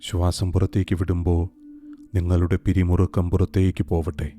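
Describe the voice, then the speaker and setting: A man narrating a scripted guided meditation in a calm, soothing, unhurried way with long pauses. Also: sits low. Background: soft meditation music with singing bowls.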